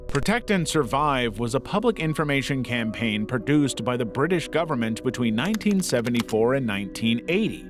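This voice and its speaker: A man narrating a scripted documentary-style piece in a measured, serious way. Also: medium pitch. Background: background music.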